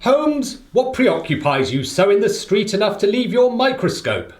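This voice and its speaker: A man acts out an affable British gentleman for a radio play, recorded in an echoing room. The voice is fairly high and lilts widely. He talks in a chatty, emphatic way, full of short phrases. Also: very animated, moderate pace, faint music behind. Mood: curious.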